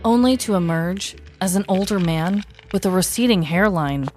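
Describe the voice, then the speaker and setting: A woman's voice: on the low side, moderate pace, darkish tone, a little hiss or hum. An American woman in her thirties narrating a scripted comedic story as a podcast host, with a wry, dramatic delivery. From United States. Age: 30s.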